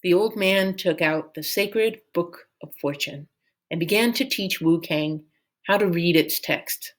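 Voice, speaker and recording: A woman narrating a storybook as an expressive read-aloud. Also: fairly low pitch, measured pace. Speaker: storyteller reading a book aloud.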